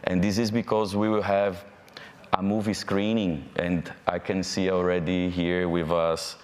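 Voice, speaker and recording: Brazilian guy hosting a panel in a hall, really low voice, big reverb, kinda halting. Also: level tone, a little mellow. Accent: Brazilian Portuguese. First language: Portuguese. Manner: friendly, halting, with fillers and restarts. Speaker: panel moderator. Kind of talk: live panel moderation. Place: event hall. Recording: hall reverb from a live event.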